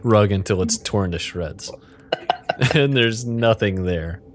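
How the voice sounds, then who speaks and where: Male game master, lowish register, chuckly narration of an improvised text-adventure, slightly noisy recording. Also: slow pace. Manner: often chuckles while narrating. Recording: clearly noisy background.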